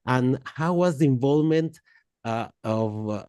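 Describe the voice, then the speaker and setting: Guy hosting a podcast, halting and fillery, mellow dark voice, dry close sound. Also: medium pitch, expressive, smooth, no room sound. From Mexico. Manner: halting, many fillers and restarts. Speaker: podcast host. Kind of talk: casual interview chat.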